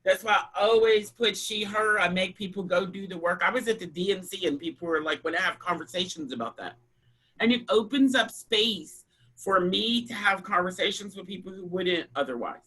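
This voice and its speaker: Deep, husky-voiced woman speaking frankly. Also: moderate pace, a bit bright, low-quality recording. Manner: frank, emphatic storytelling. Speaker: panelist. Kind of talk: candid panel discussion.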